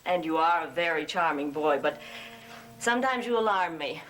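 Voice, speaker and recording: A woman's voice: low-pitched, lively intonation, moderate pace, fairly bright tone, rough recording. An actress playing an anxious, genteel middle-aged mother, polite and a little hesitant, in gothic soap opera style. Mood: worried, reproving.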